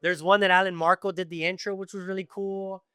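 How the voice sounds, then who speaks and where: Bright, crisp-voiced man telling stories. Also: high voice, brisk, no room sound. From Tijuana and East Los Angeles. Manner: animated storytelling with many fillers. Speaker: guest. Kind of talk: casual podcast conversation.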